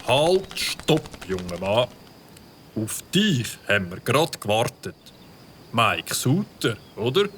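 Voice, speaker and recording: A man's voice: medium pitch, sing-song, takes it slowly, a bit bright, slight background noise. A man doing an officious Swiss village cop. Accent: Swiss German. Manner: officious, commanding. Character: stern, officious male village policeman. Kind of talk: children's audio drama acting.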